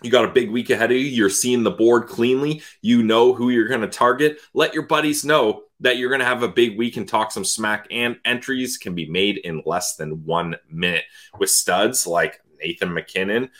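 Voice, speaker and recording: A man's voice: mid-range voice, normal speed, hardly any pauses, fairly bright tone. A man co-hosting a sports podcast, speaking casually about hockey.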